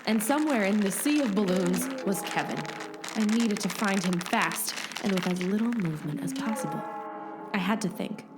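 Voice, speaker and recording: A woman's voice: medium pitch, close to an everyday voice. A woman playing an earnest, determined heroine, narrating her rescue dramatically in a comedic audio drama. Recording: loud music bed underneath.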